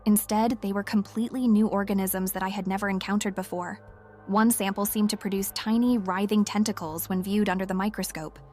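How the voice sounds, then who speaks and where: A woman's voice: medium pitch, steady tone, a little fast, a little mellow, natural voice. A woman playing an obsessed, frightened scientist confiding intensely and hesitantly in a spoken audio-drama log. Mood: awed unease. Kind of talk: dramatic monologue as spoken diary. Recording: music under the voice. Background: music.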